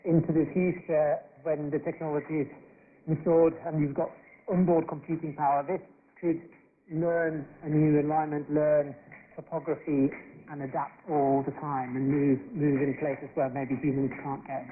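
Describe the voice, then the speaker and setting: A man's voice: a little high, little pitch movement, normal speed. A man working as a radio reporter, presenting a feature report. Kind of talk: scripted radio report narration. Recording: tinny, hissy shortwave radio broadcast.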